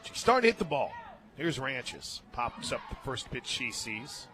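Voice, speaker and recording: An American man doing live radio play-by-play of softball, conversational and clipped, lifting into emphatic calls. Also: medium pitch, sing-song, unhurried, noisy background. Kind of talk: live softball radio commentary with casual banter. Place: stadium radio booth.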